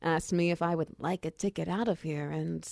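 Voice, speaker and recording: A woman acts out a friendly female minotaur wizard in an ASMR roleplay. Her voice is mellow and slightly low, with a heavy creak, and she talks in an unhurried, chatty, encouraging way like a patient mentor. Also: steady tone, no room sound, studio quality. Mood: casual storytelling. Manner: chatty, encouraging, gently instructive.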